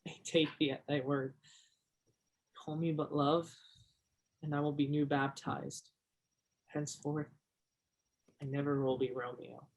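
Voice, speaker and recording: A man's voice: on the high side, little pitch movement, measured pace, vocal fry, pauses often, husky, full character voice, low-quality recording. A man acting a shy, naive, earnest young shop worker in a stage play, plainspoken. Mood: romantic.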